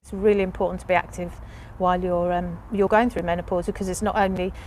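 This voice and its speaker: A woman earnestly talking about her experience, with some halting restarts. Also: lowish voice, little pitch movement, a little mellow, a little hiss or hum, low-quality recording. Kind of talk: personal testimony.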